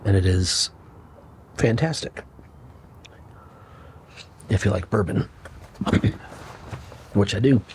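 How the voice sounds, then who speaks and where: A middle-aged man hosting his own channel, musing in a relaxed, unhurried way with pauses. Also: fairly low pitch, slight background noise. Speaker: channel host. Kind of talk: relaxed solo vlog talk.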